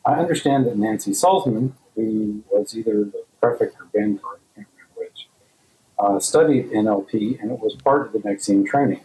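A man's voice: on the low side, moderate pace, dark tone, rough recording. A man speaking as a listener leaving a polite, orderly voicemail question for a podcast.

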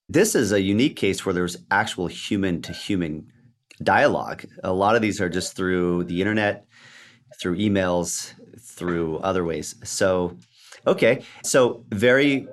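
A man with a slightly low voice acts as interviewer. He speaks conversationally, putting questions to a guest and adding his own comments. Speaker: interviewer, host.

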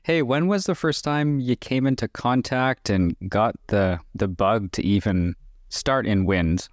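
A man hosting a podcast, chatty and enthusiastic, quick to agree with his guest. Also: mid-range voice, no echo, studio quality. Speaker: podcast host. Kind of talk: casual podcast interview.

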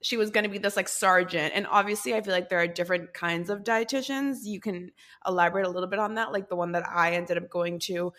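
A woman hosts a podcast with a slightly low voice. She chats in a loose, rambling way, piling up fillers and side remarks. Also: steady tone, fast talker, hardly any pauses, fairly bright tone. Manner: chatty, rambling, lots of fillers. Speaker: podcast host. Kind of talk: casual podcast conversation.

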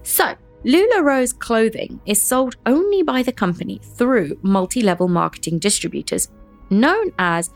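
Woman podcast co-host, Tamil first language, animated, laughs a lot. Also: fairly high pitch, moderate pace, faint music behind. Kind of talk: casual chat.

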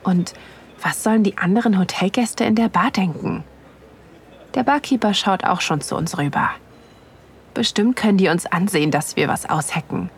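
A woman playing an excited, aroused wife who narrates an erotic audio drama in an intimate, breathy manner. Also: medium pitch, moderate pace. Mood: nervous, excited.

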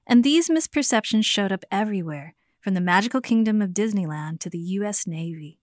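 An American woman speaks as a podcast host and narrator. Her delivery moves between prepared narration and conversation, at a middling pitch. The sound is close and dry, with very little room around it. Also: animated, a little fast, dry recording. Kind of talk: scripted narration mixed with conversational interviewing.

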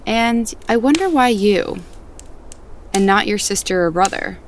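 An actress playing a curious, thoughtful woman with a gentle, probing manner, in naturalistic audio drama dialogue. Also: mid-range voice, expressive, a little slow. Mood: gently probing. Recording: faint outdoor ambient noise.

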